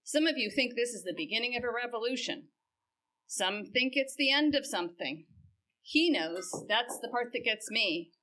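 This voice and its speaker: A woman plays a tired, sardonic donkey in a church drama. The voice sits a touch high and rings bright and forceful. The asides come out dry and knowing. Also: animated, normal speed. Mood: earnest. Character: wry weary donkey carrying Jesus. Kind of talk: amateur church dramatic reading.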